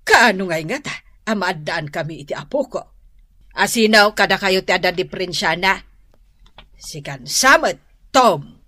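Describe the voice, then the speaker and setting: A woman playing a fretful, nagging mother who longs for a grandchild, husky and insistent, in an Ilocano radio drama. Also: medium pitch, animated, moderate pace, long pauses, pushed and bright, dry recording. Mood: impatient, excited. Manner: insistent, worrying.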